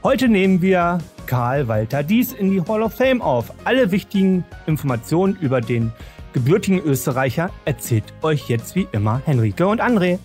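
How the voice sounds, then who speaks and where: A man's voice: a little high, sing-song, moderate pace, darkish tone. A man hosting a podcast, chatting casually and presenting segments. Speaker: podcast host. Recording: music bed behind voice.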